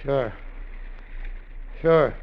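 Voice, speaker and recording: An actor playing a scheming sailor gone treasure-mad, in a tense, hard-boiled confessional old-time radio style. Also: male voice, medium pitch, drawn-out words, dull audio, a little hiss or hum. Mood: sly. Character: Danny, scheming treasure-mad sailor turned gunman, confessing his crime. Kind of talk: old-time radio drama acting with first-person narration. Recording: vintage radio broadcast with music.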